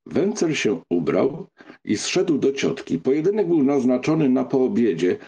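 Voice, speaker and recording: Husky-voiced man narrating a novel. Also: medium pitch, very animated, a little slow, mellow. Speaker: audiobook narrator. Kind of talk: scripted literary narration.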